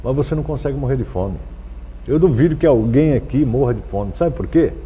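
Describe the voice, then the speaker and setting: A middle-aged Brazilian man talking as an interview guest, conversational and earnest, somewhat halting as he goes. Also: medium pitch, animated, mellow, breathy edge. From São Paulo, Brazil.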